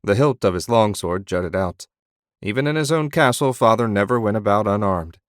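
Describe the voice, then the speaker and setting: A man narrating a fantasy audiobook in measured storytelling. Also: on the low side, animated, no echo, studio quality.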